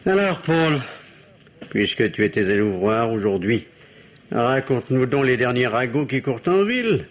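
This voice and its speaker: A man's voice: mid-range voice, very animated, moderate pace, lightly acted. An actor playing a bitter, sarcastic middle-aged family man in an old French radio mystery play, sardonic and mock-polite, on a muffled recording. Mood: sarcastic, biting.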